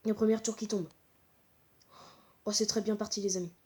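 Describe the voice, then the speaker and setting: Congested gamer commentating live. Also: female voice, medium pitch, level tone, normal speed, a little mellow. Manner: exclamatory, repeats short interjections. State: congested with a cold. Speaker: gaming channel host. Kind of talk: live excited gameplay commentary.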